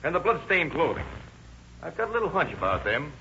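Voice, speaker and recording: An actor playing a tough, sardonic police detective in 1930s radio crime drama, blunt, slangy and commanding. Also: male voice, on the high side, sing-song, brisk, sharp tone, full character voice. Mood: firm, pressing. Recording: muffled, noisy old radio recording.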